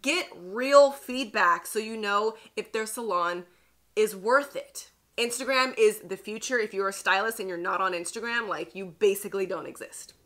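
A woman's voice: medium pitch, moderate pace. A woman in her thirties giving chatty, emphatic advice straight to camera in a beauty vlog, recorded in a plain indoor studio. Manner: chatty, emphatic, lively asides. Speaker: beauty vlogger.

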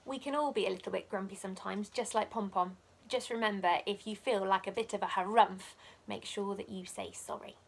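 A young woman reading a children's picture book aloud like a teacher, expressive and measured. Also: medium pitch, creaky, slightly rough. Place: living room by a fireplace.

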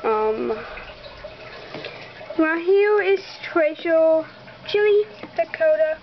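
A young girl giving a casual, halting tour of her toy horse collection, with many ums, talking straight to viewers. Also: very high voice, big pitch swings, muffled recording, a little hiss or hum. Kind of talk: casual barn tour narration. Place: bedroom. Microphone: handheld camera close to the floor.

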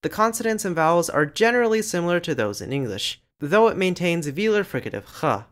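A man giving scripted educational narration over slides, in a lively, explanatory way. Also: high-pitched, brisk. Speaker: language channel narrator.

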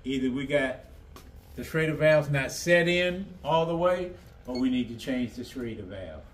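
A man's voice: mid-range voice, lively intonation, normal speed. A man giving casual practical instruction as a technician, in short directives. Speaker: technician guiding a trainee. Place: indoor HVAC training workshop. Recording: loud air conditioner and machinery noise.

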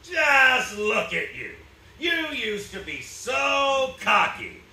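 A cruel old moneyed villain in a stage radio play. He declaims mockingly in a high, piercing voice, caught from far off in an echoey hall. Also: huge pitch swings, extremely slow, gravelly, bright, forceful tone, exaggerated voice. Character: gloating, cruel old rich banker villain. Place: theatre stage, recorded from the audience.

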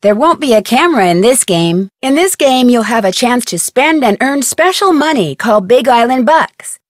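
A woman acting as a clever young American girl detective in a video game. Her voice is fairly high and very dry, with no room sound. She recounts things earnestly and upbeat, slipping in wry asides. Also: expressive, normal speed, no echo, natural voice. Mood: friendly explaining. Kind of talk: scripted game narration.

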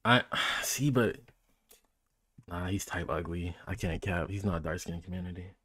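A man's voice: deep voice, measured pace, pushed and bright. A young man giving casual, slangy reaction commentary as a streamer, often repeating himself for emphasis, on a close microphone indoors. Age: young adult. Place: small indoor room.

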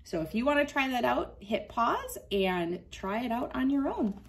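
A woman's voice: on the high side, sing-song, normal speed, vocal fry, slight background noise. A woman in her thirties reads a picture book aloud to young children, instructing them and adding casual asides as she demonstrates. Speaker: children's read-aloud host. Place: small wood-panelled room indoors.

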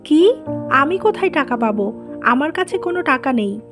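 Woman acting scheming high-voiced cartoon mother. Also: expressive, normal speed, music in the background. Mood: scornful. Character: scheming, greedy, cold-hearted mother of grown son. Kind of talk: dubbed cartoon drama dialogue.

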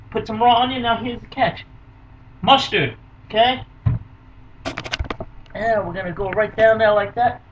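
A man hosts a home cooking show in a small kitchen. His voice is high and bright, with hammy, energetic patter. The audio is dull and lo-fi, picked up a little away from the camera mic. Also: recorded from a distance, slight background noise. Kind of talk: casual home cooking video. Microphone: camera mic close by.

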